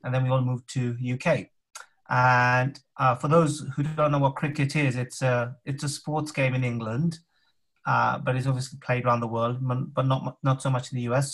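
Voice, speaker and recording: A man's voice: medium pitch, poor audio. A man talking casually as a workshop participant on a video call from a home room, thoughtful and hesitant, often restarting his sentences. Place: home room with map on wall. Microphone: laptop webcam on video call.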